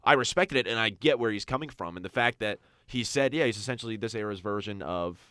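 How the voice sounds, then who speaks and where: Deep, clear-voiced young man talking sports. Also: lively intonation, fast, bright, forceful tone, no echo, studio quality. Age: young adult. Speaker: sports radio co-host. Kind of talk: casual sports talk.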